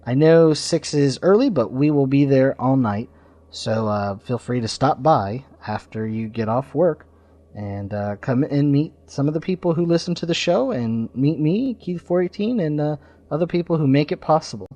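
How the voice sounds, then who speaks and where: A man hosting a podcast, giving informal announcements and reviews in a halting way, with pauses mid-sentence. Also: medium pitch, lively intonation, dark tone. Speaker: podcast host.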